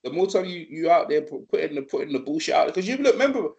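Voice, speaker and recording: Brief: male host, higher-pitched, rambling and repetitive, casual stream chat. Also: fast. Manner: rambling, repetitive, animated conspiracy talk.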